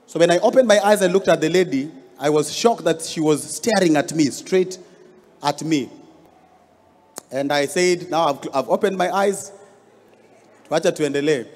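Kenyan male pastor, 30s, fairly high voice, storytelling sermon, hall echo, handheld mic. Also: a little mellow. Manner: storytelling, rising emphasis on key words. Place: large church hall stage. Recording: long hall reverb.

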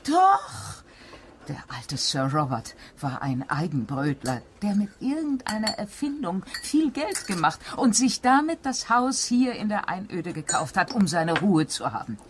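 A woman's voice: medium pitch, sing-song, measured pace, background noise. A woman playing a gossipy country-house housekeeper in a German mystery radio play, telling things in a confiding, dramatic way.

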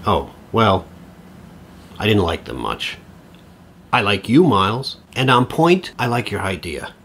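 An awkward middle-aged man in an audio play, eager to please. His speech is halting and earnest, with a very lively pitch. There is clear ambient noise behind him. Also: exaggerated voice. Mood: earnest, persuading. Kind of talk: naturalistic audio drama acting.

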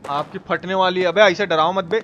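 High-pitched Bihari-accented man streaming. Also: steady tone, fairly quick, fairly bright tone, dull audio, slight background noise. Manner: slangy, cursing, exclamatory, repeats himself. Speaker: live streamer. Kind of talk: casual gameplay commentary with chat replies. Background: game music.

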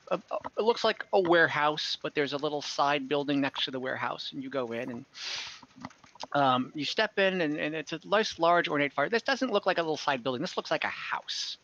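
Game master, young man, bright voice, loose rambling narration, dull call audio. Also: on the high side. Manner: relaxed, rambling narration with many fillers. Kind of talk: narrating a tabletop game over video call. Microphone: headset on a video call.

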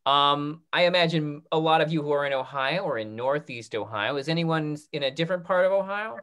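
Higher-voiced man hosting a panel. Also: fairly quick, fairly bright tone, clean tone. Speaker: moderator of a panel discussion. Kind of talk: hosting a casual video-call Q&A. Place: indoor video call. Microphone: video call computer microphone.

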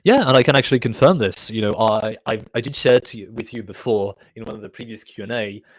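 A man hosting a live online conference Q&A, chatty and rambling, his voice getting raspy. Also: lowish voice, quick pace, no echo, poor audio. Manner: chatty, rambling, self-correcting. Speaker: conference host. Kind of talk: casual live Q&A moderation.

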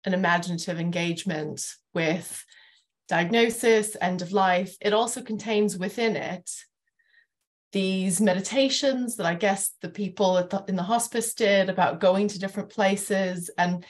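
A woman's voice: mid-range voice, measured pace. A middle-aged woman moderating a panel discussion over a video call from a room at home. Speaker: panel host.

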